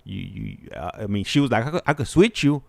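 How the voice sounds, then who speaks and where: A man with a low voice tells stories in a casual, animated way. He, repeats himself for emphasis and mixes in Spanish slang. The sound is close and dry. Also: brisk, creaky, no room sound. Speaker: host. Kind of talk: casual chat, storytelling with slang.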